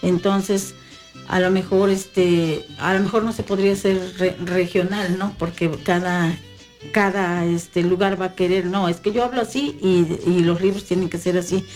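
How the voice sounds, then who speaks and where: A woman's voice: fairly low pitch, fairly even intonation, moderate pace, a little mellow. Hesitant woman, music behind. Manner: hesitant, many fillers and restarts. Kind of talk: casual conversation.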